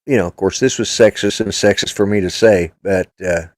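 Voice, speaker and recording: An older man with a low voice talks bluntly and rambles as he hosts a casual political chat. His voice is recorded close, with a dry sound and very little room echo. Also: fairly quick. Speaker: podcast host.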